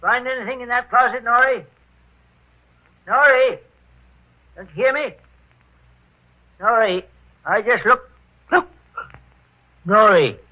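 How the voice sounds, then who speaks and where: An actor playing a folksy, homespun detective's sidekick in 1940s radio style, on an old recording. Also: male voice, extremely high pitch, slow pace, pauses often, no echo, narrow, tinny audio. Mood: concerned. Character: folksy, plain-spoken male sidekick to a detective. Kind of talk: 1940s radio character acting.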